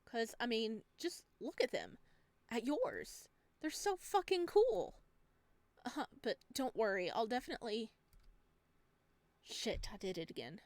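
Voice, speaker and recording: A woman's voice: a little high, wildly swooping pitch, unhurried, long pauses, dry recording, heavily acted. A woman voicing an enthusiastic, chatty traveler staying as a houseguest in a visual novel, earnest and bubbly. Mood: gushing. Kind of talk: visual novel protagonist reading.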